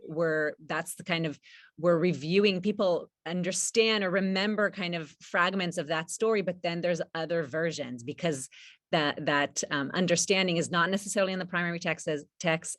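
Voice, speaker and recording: A woman presenting a project informally at a panel event, halting as she searches for her words. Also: sits low, a bit bright, no echo, clean studio sound.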